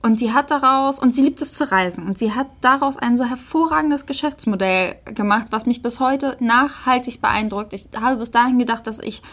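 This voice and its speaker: Woman, slightly high voice, podcast co-host, casual storytelling, animated and conversational. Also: moderate pace, few pauses.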